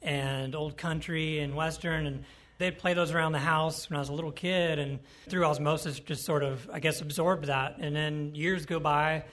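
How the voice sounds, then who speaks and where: A man from Ohio with a nasal voice, a country musician telling stories in a relaxed, rambling way during an interview. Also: a little high, moderate pace. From Ohio, USA. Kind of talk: casual interview talk.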